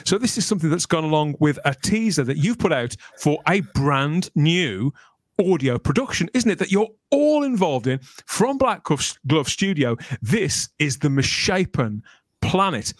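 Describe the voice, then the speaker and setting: A man's voice: a little high, sing-song, moderate pace, dry recording. A middle-aged man chatting enthusiastically and jokily as a podcast host, close to the microphone. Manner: enthusiastic, jokey, wordy. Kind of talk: chatty fan podcast talk. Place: small room at home, on a video call.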